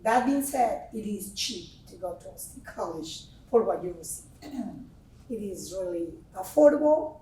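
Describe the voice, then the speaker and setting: A woman's voice: mid-range voice, animated, moderate pace, warm tone, slight background noise. A woman in her forties from Mexico City with a Mexican Spanish accent, speaking as a grateful parent from the audience in a chatty way. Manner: chatty, grateful, laughing often. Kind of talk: spontaneous audience remarks. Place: large meeting room. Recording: echoey room, voice from far off the mic.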